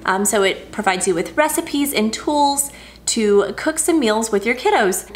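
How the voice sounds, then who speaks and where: A woman's voice: on the high side, a bit bright. A woman in her thirties chatting through an unboxing in her home kitchen, upbeat and gushing over each item. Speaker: vlogger. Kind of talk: casual unboxing chat.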